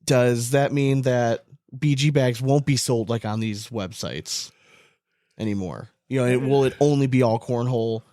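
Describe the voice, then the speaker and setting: A man's voice: medium pitch, unhurried, warm tone, no room sound, clean studio sound. An American man co-hosting a podcast in casual, rambling talk, often leaning on filler words like "like". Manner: rambling, informal, frequent "like". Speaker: podcast co-host. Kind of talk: casual chat.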